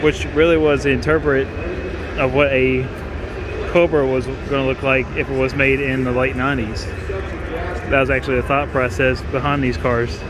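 A man's voice: medium pitch, steady tone, normal speed. A young man giving informal, enthusiastic commentary while walking around cars at an outdoor auction lot, often saying "like". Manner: informal, enthusiastic, many "like" fillers. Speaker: car show videographer. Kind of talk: casual walkaround commentary. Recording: outdoor noise behind voice.

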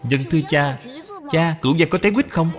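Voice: medium pitch, a little fast, soft background music, lightly acted. An actor voicing a polite, eager six-year-old boy, respectful and childlike, in a cartoon. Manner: respectful, bright-eyed, childlike.

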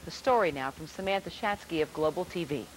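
A woman in her thirties anchoring live news from a newsroom studio, crisp when scripted, halting in ad-libs. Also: sits low, heavy background noise.

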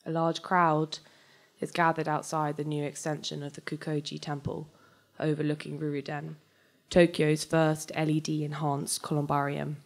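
A young adult woman giving a live, scripted dramatic reading of fiction on stage into a microphone, moving between measured narration and conversational dialogue. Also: low voice, completely flat delivery. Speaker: reader of a short story. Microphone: handheld-type microphone on a stand, close.

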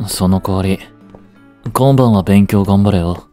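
Man playing a low, mellow, aloof teenage boyfriend. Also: unhurried, faint music behind. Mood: casual, placating. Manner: cool, curt, nonchalant teasing. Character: aloof, dry, secretly fond teenage boyfriend. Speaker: voice actor. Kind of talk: romantic situation voice drama, one-sided dialogue.

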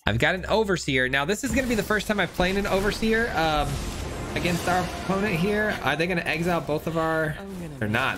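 A young man giving upbeat, chatty commentary over a digital card game, thinking aloud with frequent restarts. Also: a little high. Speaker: gameplay commentator. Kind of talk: casual gameplay commentary.

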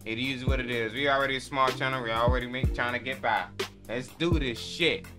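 A man's voice: medium pitch, fairly even intonation, a little fast, over music. A man gives casual, hyped, slangy and profane reaction commentary. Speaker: reaction video host.

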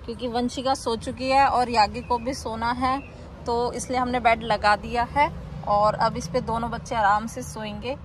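A woman with a fairly high voice walks through a product demo in a relaxed, chatty way, explaining each step as she goes. Steady noise from the car and street sits behind her. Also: normal speed. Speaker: vlogger reviewing a car bed. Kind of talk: casual product demo vlog. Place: inside a car.

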